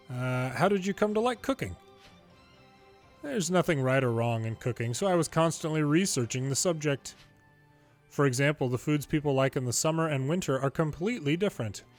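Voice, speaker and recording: Man, somewhat high voice, playful game read-aloud, changes pitch between characters, soft music underneath. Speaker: longplay host reading a game's dialogue aloud. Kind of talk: reading story dialogue aloud with ad-libbed commentary. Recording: music behind the voice.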